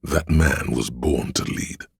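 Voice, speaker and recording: A man voicing an omniscient inner voice of police camaraderie in a detective video game, narrating gravely and theatrically in a husky, gravelly voice. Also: slow pace, dry recording, studio quality, heavily acted. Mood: wry, knowing. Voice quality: very deep gravelly husky rasp. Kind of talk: dramatic literary game narration.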